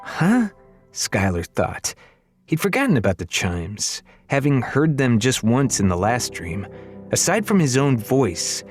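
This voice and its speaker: A man reading a children's fantasy novel as an audiobook narrator, in expressive, dramatic storytelling style. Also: mid-range voice.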